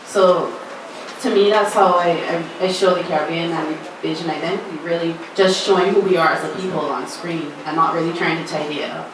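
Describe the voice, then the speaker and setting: A Barbadian screenwriter and filmmaker answering questions on a festival panel in a candid, relaxed way with light humour. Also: female voice, on the low side, a little fast. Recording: echoey room, far from mic, background noise.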